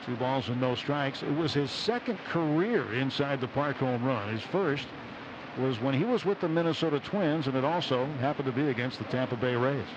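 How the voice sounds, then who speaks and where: A man's voice: mid-range voice, lively intonation, normal speed. A man with an American accent calling a baseball game live on radio, play by play, and reading short sponsor spots. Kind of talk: live radio sports commentary with sponsor reads. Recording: dull radio broadcast with loud stadium noise. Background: stadium ambience.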